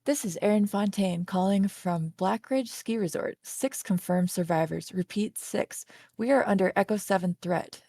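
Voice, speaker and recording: A woman acts out a survivor calling for help over the radio in a drama table read. Her mid-pitched voice is mellow with heavy fry, grave and clipped. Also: normal speed, hardly any pauses, dry recording, clean studio sound, close to an everyday voice. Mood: urgent, grave. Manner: clipped, grave, repeating key words. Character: urgent female survivor making an emergency radio call.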